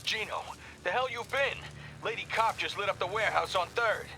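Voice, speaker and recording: A man's voice: high voice, brisk, heavy background noise. A man voicing a brash, impatient American mob henchman in a video game, blunt, slangy and bossy. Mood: irritated. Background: music.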